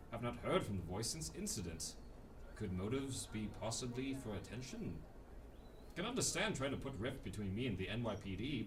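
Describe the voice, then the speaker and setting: An actor playing a brooding, theatrical vigilante crime fighter in a radio drama, talking in clipped, melodramatic lines. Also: male voice, mid-range voice, recorded from a distance, slight background noise, lightly acted. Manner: clipped, melodramatic, pun-loving. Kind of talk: radio drama monologue and dialogue.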